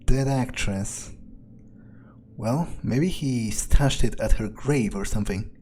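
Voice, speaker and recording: A man thinking aloud in character during a video game playthrough, halting and matter-of-fact, with casual swearing. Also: mid-range voice, darkish tone, a little hiss or hum. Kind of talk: in-character musing aloud, thinking through events.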